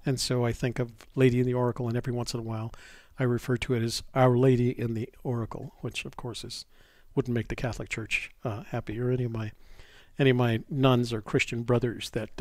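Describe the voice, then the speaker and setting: A man's voice: medium pitch, little pitch movement, a little mellow, dry recording. Stuffy older American man, dry close sound. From New Mexico, United States. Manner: halting, often pausing mid-thought. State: stuffy, getting over a cold. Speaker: live stream host. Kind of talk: casual live chat, rambling.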